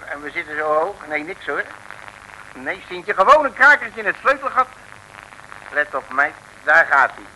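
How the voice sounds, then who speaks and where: An actor playing a brash, jovial, cheeky detective with a folksy, swaggering manner in an old Dutch radio serial. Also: male voice, fairly high pitch, animated, moderate pace, close to an everyday voice. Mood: sly, jaunty. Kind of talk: 1950s-60s Dutch radio serial acting. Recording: old radio recording with faint noise.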